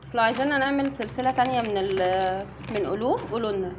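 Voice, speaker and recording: A woman's voice: on the high side, steady tone, fast, background noise. A woman with an Egyptian Arabic accent chatting casually while unboxing for a family vlog, sounding a little weary. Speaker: family vlogger.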